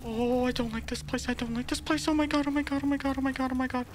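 A man's voice: extremely high pitch, fairly even intonation, fast talker, background noise. A man reacting live to a video game, jumpy and exclaiming, often panicky and rambling. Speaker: gameplay streamer.

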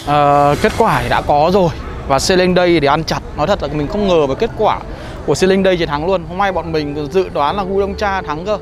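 Quick, high-voiced young man joking. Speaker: channel host. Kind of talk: vlog commentary.

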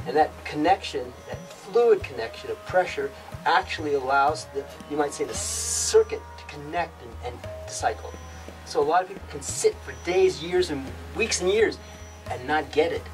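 A young man giving a meandering spiritual yoga talk in an outdoor rock cave, halting often and restarting his sentences. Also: on the high side. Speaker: yoga teacher. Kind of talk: rambling spiritual teaching monologue. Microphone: far camera. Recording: distant mic with music behind. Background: instrumental music.

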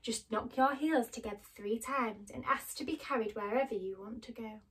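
A woman reading aloud as a cheerful, kind good witch with a soft, friendly voice. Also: fairly high pitch, normal speed, far from the mic, close to an everyday voice, rough recording. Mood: soothing.

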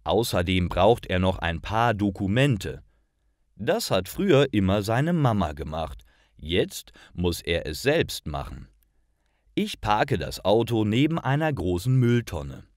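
A man reading a scripted story for language learners, with clear and careful, expressive enunciation. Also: lowish voice, a little slow, dry recording, studio quality. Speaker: narrator of stories for language learners.

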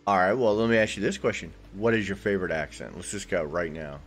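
Male podcast host, low voice, joking and rambling, raised in the American South. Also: fast, faint music behind. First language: not English. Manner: joking, rambling, drops into mock accents. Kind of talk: casual podcast chat.

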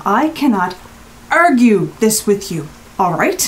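An actress playing a blunt, exasperated rental guest in an audio drama, with emphatic, clipped phrases. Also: female voice, medium pitch, very animated, slow pace, a little hiss or hum. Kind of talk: naturalistic audio drama acting.

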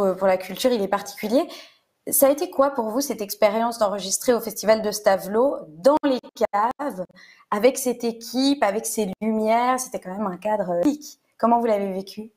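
A young woman interviews a guest from an indoor room over a video call. She talks in a chatty, questioning way at a middle pitch. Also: a little mellow. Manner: chatty, questioning, light laughter.

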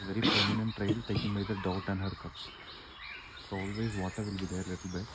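A man guiding a walk through a forest, explaining in simple, relaxed English. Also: lowish voice, fairly quick. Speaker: forest guide. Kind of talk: guided nature walk explanation. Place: forest outdoors. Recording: loud forest insect noise. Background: loud insects and forest ambience.

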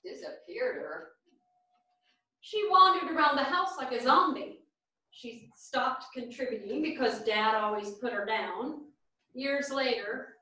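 A woman playing a devout, caring elderly grandmother in a staged play reading, deliberate and expressive. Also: high-pitched, full character voice. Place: small theatre stage, seated at music stand. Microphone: far camera. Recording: very echoey theatre stage from far off.

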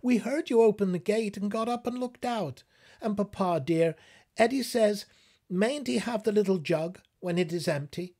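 Little girl in her nightgown, high and coaxing, as an older male reader puts her on. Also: moderate pace, darkish tone. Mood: pleading. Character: little girl in a bedgown, pleading.

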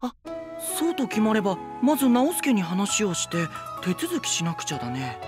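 An actor playing a gentle, eager, boyish young lord, soft-spoken, polite and earnest, in an anime drama. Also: male voice, high voice, wildly swooping pitch, moderate pace, over music. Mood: delighted, eager.

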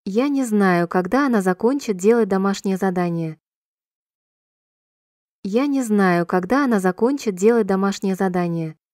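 A woman reading short sentences slowly and clearly for a language-listening drill, repeating each one in a measured, even way. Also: mid-range voice, dry recording. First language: Russian. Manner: clear, measured, evenly paced repetition. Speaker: Russian sentence reader.

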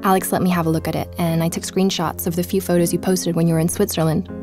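An actress playing a determined woman journalist, narrating her podcast investigation in a measured, inquisitive way. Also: on the low side, little pitch movement, over music, close to an everyday voice. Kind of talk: scripted podcast narration in audio drama.

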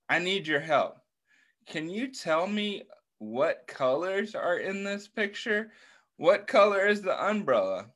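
A middle-aged American man reads a picture book to small children. His voice sits a little high for a man and moves very slowly. He keeps putting questions to his listeners and encourages them with praise. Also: fairly bright tone. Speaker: children's story time host. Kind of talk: interactive picture book read-aloud for young children. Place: indoors on a video call.

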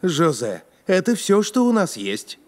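Lively, patient children's cartoon narrator. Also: male voice, high voice, a little slow, a little hiss or hum, full character voice. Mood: patient, coaxing. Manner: encouraging, explanatory. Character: friendly helpful adult male cartoon narrator, patient companion. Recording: music behind the voice.

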